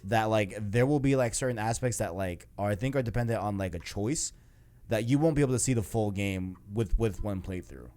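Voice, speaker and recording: A man with a fairly low voice hosts a gaming discussion in a loose, casual style. He rambles conversationally, circling back and checking in often, with music playing behind him. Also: fairly quick, warm tone. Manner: rambling, peppered with "like" and "you know". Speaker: host of a video game discussion show. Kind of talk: casual chat.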